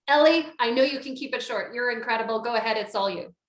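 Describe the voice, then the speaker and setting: A Canadian woman hosts a virtual business meetup, chatty and upbeat, teasing and cheering on the people taking part. Her voice is slightly high. The sound comes through a video call from an echoey room. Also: fast. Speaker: event host. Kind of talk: hosting a virtual networking event.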